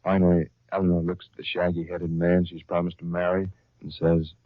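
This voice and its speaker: A man's voice: deep voice, little pitch movement, brisk, no echo. A man working as a radio announcer and narrator in 1930s style, reading from a script with theatrical emphasis. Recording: dull, lo-fi 1930s radio recording.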